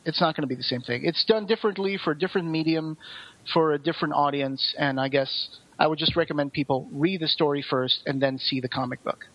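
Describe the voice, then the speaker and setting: A man's voice: a little high, fast talker, fairly bright tone, muffled recording. A man co-hosting a podcast, talking through a review in a casual, rambling, explanatory way. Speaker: podcast co-host.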